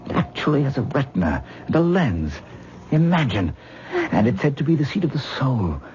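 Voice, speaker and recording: A man's voice: mid-range voice, wildly swooping pitch, moderate pace. An actor playing an intense, earnest man who lectures his wife on astral projection in a vintage radio drama. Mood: tender, earnest. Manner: dreamy, earnest, lecturing, gently condescending. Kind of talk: 1970s radio drama acting. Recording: muffled old radio broadcast with faint hiss.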